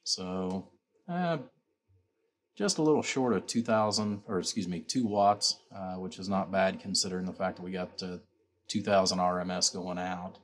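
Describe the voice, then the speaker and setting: A man with a very deep voice walks through an informal product demonstration. He speaks as the builder and seller, rambling and leaning on repeated stock phrases as he explains. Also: steady tone, normal speed. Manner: rambling, repeats "you know" and "like I said". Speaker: amplifier builder and seller presenting his work.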